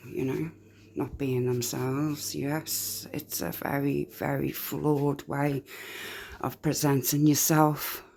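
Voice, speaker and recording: A woman's voice: deep voice, gravelly. A woman from near Liverpool with a light Liverpool accent, giving rambling, candid true crime commentary. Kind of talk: casual commentary.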